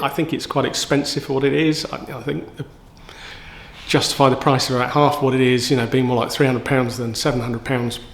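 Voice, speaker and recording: A man with a mid-range voice talks through a product in a casual review. He explains things informally, feeling his way through sentences with some hesitation. It sounds like a home renovator sharing an honest hands-on walkthrough. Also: mid-range voice.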